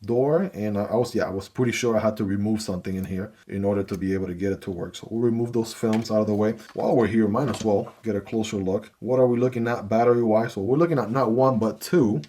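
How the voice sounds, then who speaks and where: A man's voice: lowish voice, fast, few pauses, dark tone, a little hiss or hum. A man casually talking through an unboxing and hands-on review of a gadget, easygoing and chatty with frequent fillers. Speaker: gear reviewer.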